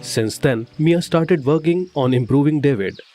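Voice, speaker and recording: A man's voice: on the high side, normal speed, warm tone. A man narrating a scripted moral story as a storyteller. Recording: faint music behind the voice. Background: faint background music.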